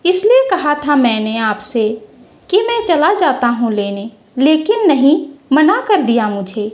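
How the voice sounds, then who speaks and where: A woman's voice: sits high, very animated, unhurried, echoey room, lo-fi sound. A woman voicing a fretful, protective young man who grumbles at and teases his younger sister, in a storytelling piece. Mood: aggrieved. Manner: complaining, teasing. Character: fretting, grumbling, protective teasing older brother, young man.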